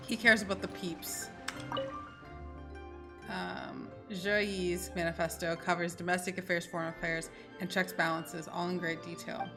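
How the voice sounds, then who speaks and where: A woman streaming a video game, giving casual, outspoken commentary in informal slang and sometimes reading text aloud. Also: on the low side. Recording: game music behind the voice.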